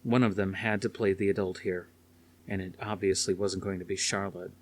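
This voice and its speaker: A man's voice: low voice, steady tone, moderate pace. A man reading a dramatic story aloud as scripted audiobook narration. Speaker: audiobook reader.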